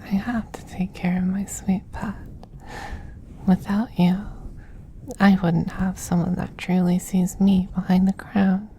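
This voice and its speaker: A man voicing a dominant but tender femboy vampire queen in a soft, slow, whispery ASMR roleplay, doting on a pet with condescension. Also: sits high, flat delivery, slight background noise. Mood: possessive, tender.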